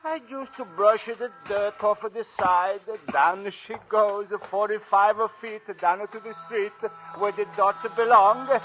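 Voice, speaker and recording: An old immigrant platform sweeper in a vintage radio play. His voice is high and bright, with a foreign accent and chatty, simple broken English. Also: fairly even intonation, unhurried, hardly any pauses, faint music behind, low-quality recording. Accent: foreign, non-native English. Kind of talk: 1940s radio acting.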